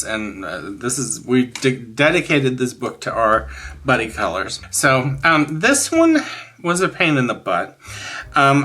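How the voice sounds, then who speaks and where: A man's voice: mid-range voice, bright, forceful tone. A man in his sixties hosting a craft channel, chatting casually and candidly in a rambling, emphatic way. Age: 60s. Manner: rambling, candid, emphatic about likes and dislikes. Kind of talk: casual chat showing finished coloring pages.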